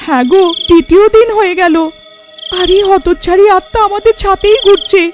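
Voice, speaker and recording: A woman's voice: very high voice, fairly quick, soft background music. A woman voicing a frightened young village wife in a cartoon, anxious, pleading and dramatic. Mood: fearful. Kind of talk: cartoon voice acting.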